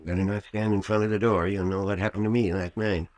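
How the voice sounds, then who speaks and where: An actor playing a cautious, grumbling, folksy small-town doctor in an old-time radio drama. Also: male voice, fairly low pitch, little pitch movement, fast talker, darkish tone, no room sound, close to an everyday voice. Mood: wary.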